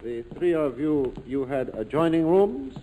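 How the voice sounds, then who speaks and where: An actor playing a courteous, reflective police lieutenant in a 1940s radio drama, speaking in a measured, formal way. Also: male voice, on the high side, animated, warm tone, a little hiss or hum. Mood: watchful. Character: philosophical, courteous police lieutenant in colonial Saigon. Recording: old radio broadcast, long reverberant hall.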